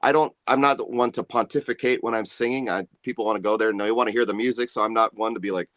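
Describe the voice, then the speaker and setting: A man with a low bass voice, a musician guest chatting in an easygoing, rambling way about his music. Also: fairly even intonation, fast, fairly bright tone, no room sound, clean studio sound. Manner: easygoing, rambling, self-promoting. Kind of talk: casual interview chat.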